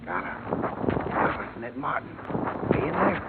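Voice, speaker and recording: A man's voice: medium pitch, big pitch swings, unhurried. A man playing a wary, touchy, slangy acquaintance of a detective in a 1950s radio drama, speaking defensively. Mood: cautious. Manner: defensive, colloquial. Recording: muffled, very noisy old radio recording.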